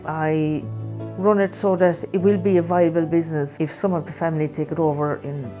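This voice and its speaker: An Irish-accented woman who runs a bar, speaking plainly and deliberately in a documentary interview. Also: sits low, steady tone, dark tone, faint music behind. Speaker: whiskey bar owner.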